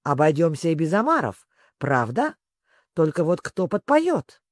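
A storybook reader acts out a weepy old-fashioned sea creature, sentimental and easily excited. The plaintive voice swoops widely and can tip from sobs into squeaks. The sound is close and dry. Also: a little slow, no room sound. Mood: hopeful. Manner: sobbing, plaintive, breaking into squeaks and yells.

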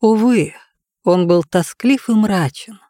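A woman's voice: medium pitch, slow, mellow, no room sound. Woman storyteller, closely recorded and dry. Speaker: audiobook narrator. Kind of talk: expressive scripted storytelling.

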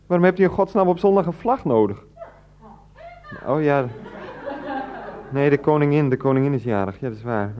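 A man's voice: a little high, lively intonation, moderate pace, long pauses, natural voice. An actor in a Dutch radio play as a grown son pleading and stammering on the phone to his mother. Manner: stammering, coaxing, often interrupted. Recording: dull, band-limited radio recording.

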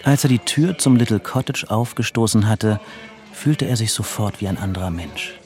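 A man's voice: expressive, normal speed, over music, close to an everyday voice. A man narrating a literary radio drama about a tense road trip in a scripted storytelling style. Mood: measured, brooding. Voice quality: low, creaky.

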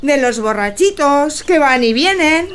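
Radio drama, actress as coquettish young bride, swooping intonation, slow cooing delivery, faint background noise. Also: female voice, high voice, expressive, fairly bright tone. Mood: cooing, evasive. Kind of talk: stage-like radio drama acting.

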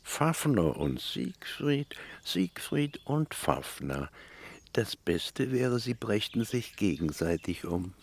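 Creaky, scheming foster father. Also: on the low side, big pitch swings, takes it slowly, a little hiss or hum, exaggerated voice. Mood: sly muttering. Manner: wheedling, ominous, theatrical, dragging out warnings. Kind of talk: fantasy radio play acting. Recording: radio play with music underneath.

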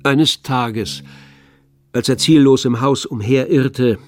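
Mid-pitched man narrating over faint strings. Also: slow. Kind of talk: scripted literary narration for radio play. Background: faint string music.

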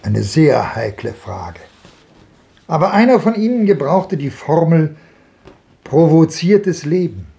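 Sceptical polite questioner in a 50s radio play, soft mellow tone, slightly high, formal. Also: male voice, big pitch swings, creaky, full character voice. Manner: measured, formal, inquiring. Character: polite, sceptical questioner among old men. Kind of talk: 1950s German radio drama dialogue.

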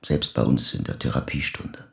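A man playing a calm, patient psychoanalyst in a naturalistic radio drama, measured and probing. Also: very deep voice, gravelly, heavily acted.

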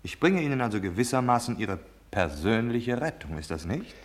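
An actor in a German radio drama playing a cold, domineering officer, curt, impatient and cynical in giving orders. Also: male voice, medium pitch, big pitch swings, brisk, lightly acted. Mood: barking impatient orders. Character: cold, domineering military officer delivering deadly orders, male.

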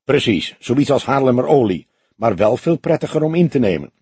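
An older detective, as acted by a male narrator, speaks with a very husky, breathy edge and deliberate care. He is grave and dryly humorous, with lively turns of pitch, as in a crime audiobook. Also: dry recording, full character voice. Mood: wry. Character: older police detective, dry, grave, quietly wry. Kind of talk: audiobook character dialogue.

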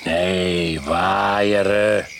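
An actor in a radio play playing an overbearing, eccentric older husband who is pushily hospitable and faintly menacing. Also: male voice, low-pitched, fairly even intonation, drawn-out words. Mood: capricious, insistent. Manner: domineering, capricious, interrupting. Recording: noisy radio play recording.

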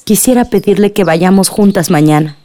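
A woman's voice: mid-range voice, moderate pace, warm tone, close to an everyday voice. An actress playing a patient, hopeful village woman in a radio drama, earnest and respectfully persuasive. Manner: earnest, coaxing.

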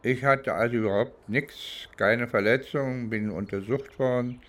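An older man from East Germany recounting his legal experience reflectively, halting and pausing between phrases. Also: mid-range voice, a bit bright. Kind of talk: reflective spoken recollection, interview-like.

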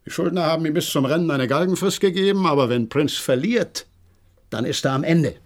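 A man's voice: fairly high pitch, a little fast, close to an everyday voice. A man acting in a German radio play as an agitated horse trainer, eager and deferential as he gives a dramatic account. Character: agitated horse trainer reporting a mystery, deferential male servant.

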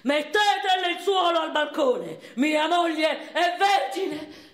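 An actress playing an educated, passionate and wounded eighteenth-century noblewoman, recalling her life in a measured, intense radio monologue. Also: high voice. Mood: crude, loud boasting. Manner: measured, intense delivery swinging from hushed to impassioned. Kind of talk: theatrical first-person dramatic monologue for radio. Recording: roomy echo, faint music behind.

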